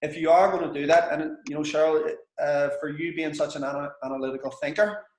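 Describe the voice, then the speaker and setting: A man's voice: a little high, fairly even intonation, a little fast, echoey room, rough recording. A man with a Belfast accent coaching a business group in a frank, chatty, sweary way. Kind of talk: casual coaching session with students.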